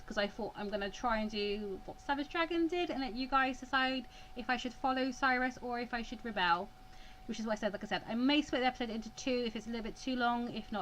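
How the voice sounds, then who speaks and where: A woman's voice: on the high side, fairly bright tone, a little hiss or hum, rough recording. A woman hosting a let's play, chatting casually to viewers. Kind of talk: casual gaming commentary.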